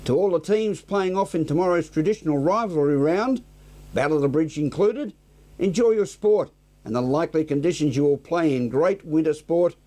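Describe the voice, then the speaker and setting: A man's voice: on the high side, moderate pace, warm tone. An Australian man presenting scripted community news as the anchor.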